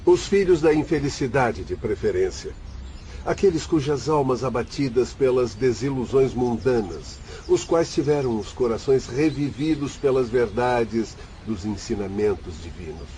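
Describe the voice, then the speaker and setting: Male actor, compassionate spirit doctor, radio drama, solemn, dark mellow tone, faint noise. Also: mid-range voice, expressive, moderate pace, natural voice. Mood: grave. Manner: formal, solemn, explanatory.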